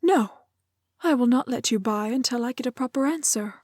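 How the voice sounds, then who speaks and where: A woman playing a gentle, curious young village maiden in a romantic fantasy audio roleplay, with a soft, breathy voice and halting, old-fashioned polite phrasing. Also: a little high, slight background noise, lightly acted. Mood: indignant.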